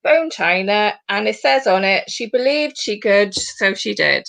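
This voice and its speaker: A woman in her forties with a British accent, hosting a casual chat over a video call, rambling with quick asides. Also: medium pitch, expressive, gravelly, a bit bright, a little hiss or hum. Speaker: host.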